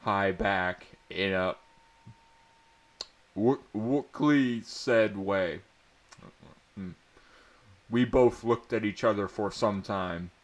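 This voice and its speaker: A man's voice: fairly low pitch, extremely slow, pauses often, rough recording. A man doing a comedic dramatic reading of bad fan fiction, prone to stumbling and giggling as he reads. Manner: often breaking into giggles and stumbling over words.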